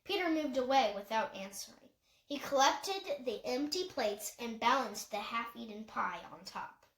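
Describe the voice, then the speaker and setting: High-pitched woman reading a story. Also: expressive, a little slow, fairly bright tone, echoey room. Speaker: audiobook reader. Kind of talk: scripted story narration.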